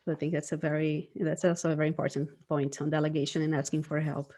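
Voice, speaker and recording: Female design manager, lowish voice, thoughtful and conversational, checks in with "right?". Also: level tone, fast talker, mellow. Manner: thoughtful, frequent "right?" tags. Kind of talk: casual conversation.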